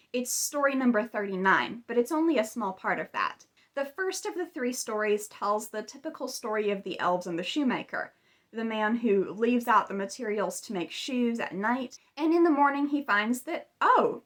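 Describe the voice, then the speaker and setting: Higher-voiced woman chatting about stories. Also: a bit bright. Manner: chatty, conversational asides. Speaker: video host. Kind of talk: casual video commentary retelling fairy tales.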